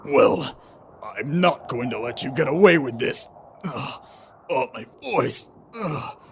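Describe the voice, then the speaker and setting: A man playing an earnest, strained young fighter in an animated action story, intense and gravelly, with long reverb. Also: medium pitch, slow, a little hiss or hum. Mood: indignant. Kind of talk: amateur animated action voice acting.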